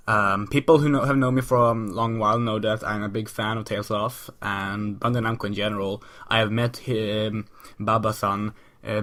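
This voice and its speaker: A man's voice: lowish voice, a bit bright. A man hosting his own podcast while sick, talking in a rambling, chatty way with many ums and restarts. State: sick, voice a bit off. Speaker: podcast host. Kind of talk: casual solo podcast monologue.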